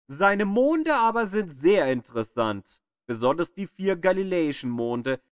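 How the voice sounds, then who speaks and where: Guy doing an educational audio tour, kinda high voice, measured storytelling, very dry sound. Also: dry recording. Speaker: narrator of an audio tour of the solar system. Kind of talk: scripted educational narration. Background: music.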